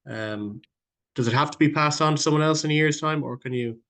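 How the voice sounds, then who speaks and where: A man's voice: a little high, brisk, a bit bright. A man hosting a poetry podcast, asking questions in a loose, rambling way with many ums and restarts. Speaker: podcast host. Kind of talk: casual interview hosting.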